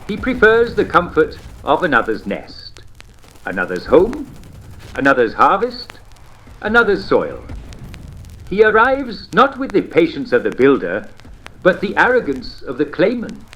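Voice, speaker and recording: A man playing an avuncular old-time radio propaganda host, genteel and smugly patriotic, with theatrical phrasing and deliberate pauses. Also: fairly high pitch, huge pitch swings, fairly bright tone, exaggerated voice. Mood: scornful, pompous. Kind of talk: vintage radio propaganda narration.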